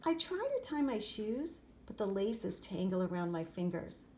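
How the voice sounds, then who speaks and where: A woman reading a children's picture book aloud expressively, in short, deliberate phrases. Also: medium pitch, big pitch swings. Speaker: storybook reader. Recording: clear background noise, room ambience.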